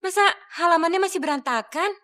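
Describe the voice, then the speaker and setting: An actress playing a fussy, disapproving guest who nags, with an Indonesian accent, in a television drama. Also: female voice, very high voice, fast talker, sharp tone. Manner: nagging, scolding.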